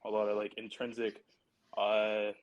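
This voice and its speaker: A man from Barbados, a track athlete on a panel, talking in a measured way as he searches for words. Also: fairly low pitch, completely flat delivery, muffled recording. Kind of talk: casual panel talk.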